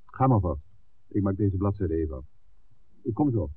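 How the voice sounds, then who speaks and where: A man's voice: low-pitched, very animated, normal speed, breathy edge, exaggerated voice. An actor playing a wry, cautious male filmmaker in a 1960s Dutch radio drama. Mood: wry, breezy. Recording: dull, noisy vintage 1969 radio recording.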